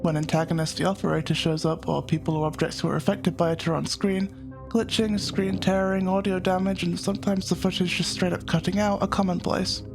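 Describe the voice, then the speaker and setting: A man narrating a scripted analytical video essay as its host, in a measured, explanatory manner. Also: high-pitched, level tone, creaky, music in the background.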